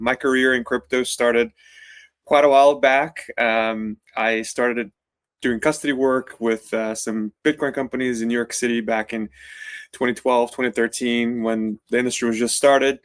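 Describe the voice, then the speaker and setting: A man in his thirties from Brazil, a company founder answering interview questions in a measured, explanatory way with frequent fillers. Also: mid-range voice, no room sound.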